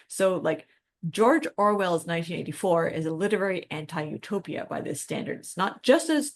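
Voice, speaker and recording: A woman's voice: lowish voice, expressive, moderate pace. A woman co-hosting a podcast, talking through literary ideas in an informal, explanatory way. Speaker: podcast co-host. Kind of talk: literary discussion, casual with quoted passages.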